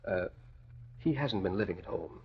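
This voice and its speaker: Deferential, husky houseman. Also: low voice, expressive, normal speed, soft background music, exaggerated voice. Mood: tattling. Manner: polite, hesitant, formal. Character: deferential male house servant, hesitant but gossiping. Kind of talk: old-time radio drama acting. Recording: dull, lo-fi old radio recording.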